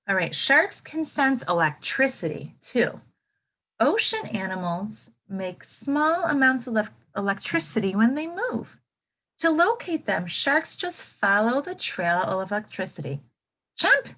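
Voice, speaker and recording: A woman's voice: medium pitch, a bit bright. A woman reading a children's book aloud as a teacher, expressively, with simple explanatory asides for young listeners.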